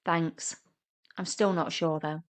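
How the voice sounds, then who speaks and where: A woman's voice: sits low, steady tone, slow pace. An awkward man in his forties, acted out by a woman narrator in a romantic comedy audio story. He is shy and nervous. He turns huffy and indignant when flustered. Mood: unsure. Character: shy, awkward, easily flustered forty-something older brother.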